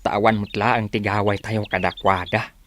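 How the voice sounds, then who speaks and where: A man's voice: lowish voice, little pitch movement, normal speed, fairly bright tone, a little hiss or hum, lightly acted. A man with an Ilocano accent playing a troubled, resigned villager who sighs and agrees, in a radio drama.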